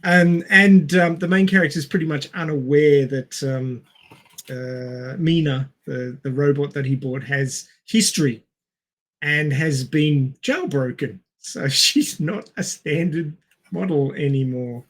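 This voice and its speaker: A man's voice: on the high side, steady tone, measured pace, fairly bright tone. A man with an Australian accent co-hosting a podcast in a casual, jokey, self-deprecating way. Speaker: podcast co-host. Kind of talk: casual chat.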